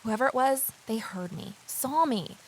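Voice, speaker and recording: A woman's voice: on the high side, expressive, measured pace, a little hiss or hum, low-quality recording. A woman playing an eager, nosy former journalist turned sleuth, telling her story in earnest first-person audio drama style. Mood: tense, intrigued.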